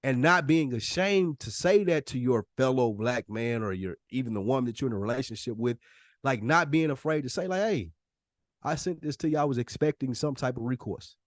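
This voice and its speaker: A man's voice: mid-range voice, expressive, a little fast, no echo, clean studio sound. An American man co-hosting a podcast in casual, slangy, emphatic talk, often acting out imagined dialogue. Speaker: podcast co-host.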